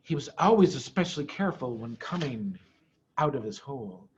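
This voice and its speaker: A man giving an expressive, dramatic reading of a children's story, with deliberate phrasing. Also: mid-range voice, husky, dull audio. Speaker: head of school reading to pupils.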